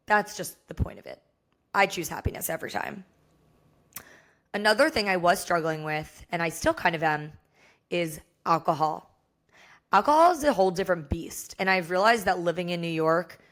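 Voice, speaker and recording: A young American woman with a hoarse voice, talking candidly and casually as the solo host of a podcast. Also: lowish voice. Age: young adult. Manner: chatty, candid, frequent "like".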